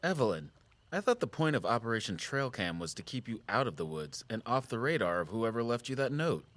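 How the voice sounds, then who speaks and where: An actor playing a concerned, patient outdoorsman in a naturalistic audio drama, measured and gently frank. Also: mid-range voice, sing-song, dry recording. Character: Jordan, concerned, patient outdoorsman who watches the woods.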